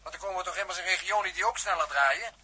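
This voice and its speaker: An actor playing a pedantic, eloquent scientist aboard a spaceship in a 1960s radio drama, speaking precisely. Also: male voice, sits high, rapid-fire, sharp tone, breathy edge, exaggerated voice. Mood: emphatic, lecturing.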